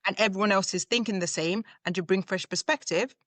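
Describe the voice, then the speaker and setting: A woman's voice: mid-range voice, a little fast, no room sound. A woman from Zambia, a leadership coach, answering interview questions in a reflective way with everyday examples.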